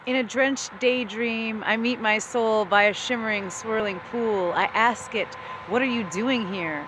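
A middle-aged woman poet reading her own verse aloud with deliberate, dramatic phrasing, recorded on a phone held at arm's length. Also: a little high, fairly even intonation, slight background noise.

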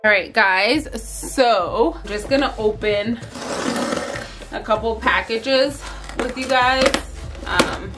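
A woman's voice: on the high side, slow, over music. A woman in her thirties chatting casually and tiredly to camera in a bedroom vlog, with lots of ums and likes. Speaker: vlogger. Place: bedroom at home.

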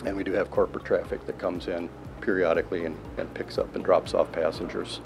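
Low gravelly-voiced guy in his 50s, steady and plain, lots of uhs, talking into a handheld mic. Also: over music. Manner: plain, measured, fills pauses with uh and um. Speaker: air traffic control manager. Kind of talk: interview answers. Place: control tower cab, indoors, large windows. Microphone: handheld mic held by interviewer.

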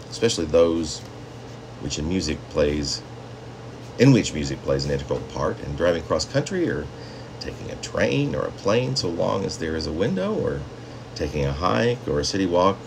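A man's voice: low voice, gravelly, noisy background. A man in his fifties talking to camera in a conversational, rambling way, like a poet sharing his own work at home. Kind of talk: casual talk and poetry reading to camera. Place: small indoor home room.

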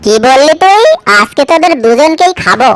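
A woman's voice: high voice, lively intonation, normal speed, fairly bright tone, voice pushed up. A woman voicing a boastful, hungry fox villain in a children's cartoon, taunting and bragging. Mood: threatening.

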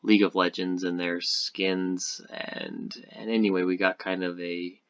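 A man's voice: low-pitched, flat delivery, a little slow, a bit bright, dull audio. A man hosting a gaming video with casual, rambling news and speculation commentary. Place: indoor voice-over on screen recording.

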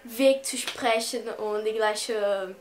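A woman's voice: on the high side, slow, slight background noise. Teenage girl searching for words. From Brazil. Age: teens. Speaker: student. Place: school room indoors.

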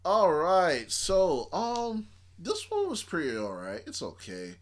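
Casual, hesitant man with lively pitch. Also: slow pace. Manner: hesitant, repetitive, rambling. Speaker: reaction video host. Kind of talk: casual chat, giving his opinion. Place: small room indoors.

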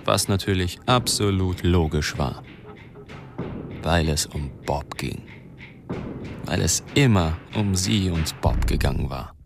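An actor playing a troubled, stubborn newspaper reporter in a radio drama, dry and weary in manner. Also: male voice, low voice, slow pace, creaky, pauses often, over music, full character voice. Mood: bitter. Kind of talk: radio drama acting with inner narration.

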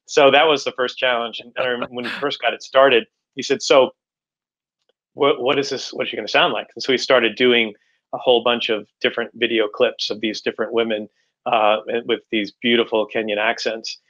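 A middle-aged man answering interview questions as an author, halting and repeating words as he explains, on a video call. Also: medium pitch, flat delivery, a bit bright. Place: indoors at home, room with bookshelves, on a video call. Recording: slightly dull video-call audio.

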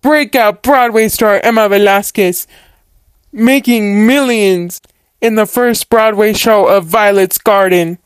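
A woman voicing a brisk entertainment reporter who proclaims news in a story roleplay. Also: mid-range voice, clean tone, no echo, close to an everyday voice. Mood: brisk, announcing.

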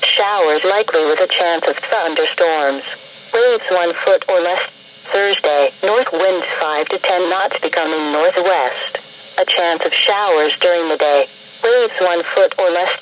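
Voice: medium pitch, a little slow, a bit bright. A computerized radio announcer delivers a scripted forecast in short, clipped phrases. The sound is dull and lo-fi, with faint noise, as if from a small radio in a room. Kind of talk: scripted marine forecast reading. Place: played through a handheld radio indoors. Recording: muffled, noisy weather radio broadcast.

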